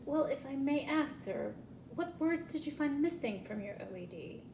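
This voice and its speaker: A woman's voice: lively intonation, normal speed. A woman acting a bookstore returns clerk in a comic play, formal and courteous but easily exasperated. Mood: polite, patient. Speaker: actor in a student play. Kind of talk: comic stage play dialogue. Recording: roomy echo, low rumble, faint noise.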